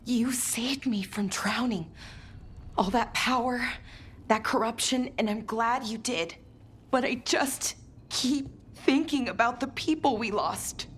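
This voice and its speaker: An actress playing a gentle but resolute heroine worn down by corruption, speaking softly and earnestly in a fantasy video game. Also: female voice, on the high side, a little hiss or hum. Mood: sorrowful, grateful. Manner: slow, soft, earnest. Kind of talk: dramatic video game acting.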